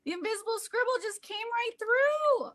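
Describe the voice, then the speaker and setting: A woman's voice: very high-pitched, expressive, moderate pace, fairly bright tone. An enthusiastic, gushing woman giving a casual, hands-on art demonstration.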